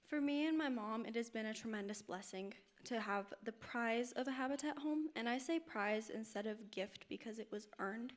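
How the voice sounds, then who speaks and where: A young woman in her late teens speaks on a panel in a fairly high voice. Her delivery is articulate and earnest, with the formal phrasing of prepared answers. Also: moderate pace, few pauses. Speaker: panel guest, student speaker. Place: indoor luncheon event before an audience.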